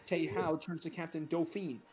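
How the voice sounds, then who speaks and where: A man's voice: on the high side, level tone, moderate pace, a little mellow, faint music behind. A man reading screenplay directions aloud as the narrator of a group script reading.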